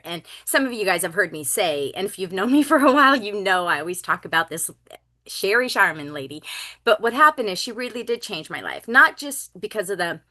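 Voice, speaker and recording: A woman's voice: lowish voice, bright, forceful tone. A woman in her fifties, born in Finland, hosting a video call and introducing a guest with emphatic, gushing enthusiasm. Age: 50s. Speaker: call host.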